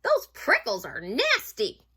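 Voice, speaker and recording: Lady doing a tiny squeaky boy mouse for a storybook, anxious n greedy, super high and bright. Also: wildly swooping pitch, takes it slowly, breathy edge, exaggerated voice. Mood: dismayed. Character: small, excitable, anxious, greedy young male mouse. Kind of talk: children's storybook read-aloud.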